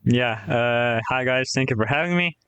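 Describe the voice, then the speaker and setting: A man acting as guest quizmaster on a podcast, explaining each question casually in a measured way. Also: medium pitch, fairly bright tone, clear, resonant tone. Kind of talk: casual quiz hosting.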